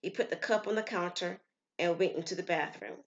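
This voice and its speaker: A woman narrating a scripted story as storyteller, in a measured, expressive way. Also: fairly low pitch. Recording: slightly muffled, lo-fi.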